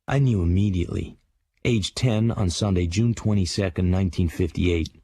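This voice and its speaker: Drama narrator: grim man, matter-of-fact memoir read, low and gravel-husky, dry close sound. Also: moderate pace, mellow, dry recording. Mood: grim, reflective. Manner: hard-boiled, matter-of-fact. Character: grown writer grimly recalling his boyhood tragedy. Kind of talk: scripted first-person drama narration.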